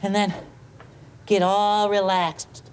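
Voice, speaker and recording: A big-hearted, childlike bird hosting a bedtime puppet show. The talk is gentle, eager and rambling, with hesitant little stops. Noise sits clearly in the recording. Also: male voice, sits high, flat delivery, slow pace. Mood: gentle, musing. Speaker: host giving bedtime tips. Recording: clearly noisy home video soundtrack.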